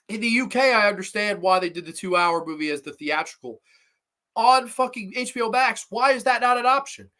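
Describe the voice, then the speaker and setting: A man's voice: high voice, sharp tone. A young man hosting a horror movie livestream, rambling and opinionated, swearing casually and a little congested with a slight cough. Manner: rambling, opinionated, swears casually, reads chat comments aloud. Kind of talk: casual livestream review chat.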